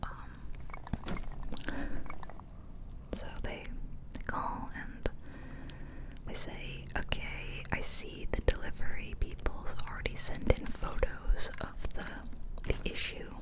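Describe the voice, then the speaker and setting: A woman whispering softly and breathily in a chatty, confiding ASMR style, close to the microphone. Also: slow pace, long pauses. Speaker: ASMR video creator. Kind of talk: whispered ASMR casual storytelling. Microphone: close ear-to-ear ASMR microphone.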